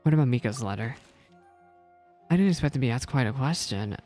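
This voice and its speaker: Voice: medium pitch, animated, a little fast, dark tone. A video game streamer reading game text aloud and reacting with emotional commentary. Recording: game music behind the voice. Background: video game music.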